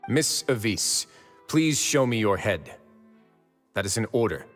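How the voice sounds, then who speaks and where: A stern, self-possessed duke from an anime game, speaking in a fairly low voice. He is measured and authoritative, with long reverb and faint music around him. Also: very animated. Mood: cold command. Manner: measured, commanding. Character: stern, composed, authoritative duke, fortress warden. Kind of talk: anime video game voice acting.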